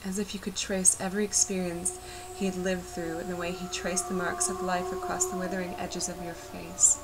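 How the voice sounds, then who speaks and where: A woman reciting a poetic spoken-word narration for a video art piece, measured and reflective. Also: lowish voice, little pitch movement. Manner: measured, reflective, unhurried delivery. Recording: voice-over with clear background noise.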